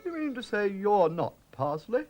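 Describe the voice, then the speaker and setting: A man voicing a plummy, bewildered old English gentleman. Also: high voice, big pitch swings, moderate pace, soft background music.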